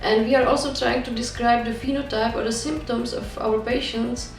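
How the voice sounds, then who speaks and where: A young woman with a fairly high, gravelly voice speaks in an earnest, upbeat way. She sits back from the mic in an echoey room, and faint music runs underneath. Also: fairly even intonation, normal speed, recorded from a distance. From Czech Republic. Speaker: PhD researcher. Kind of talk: video testimonial.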